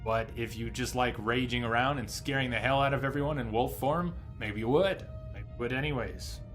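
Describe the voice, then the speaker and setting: Chatty higher-pitched man streaming a game. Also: fairly quick, fairly bright tone, faint music behind. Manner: chatty, reads menu text aloud while explaining. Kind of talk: casual live gameplay commentary.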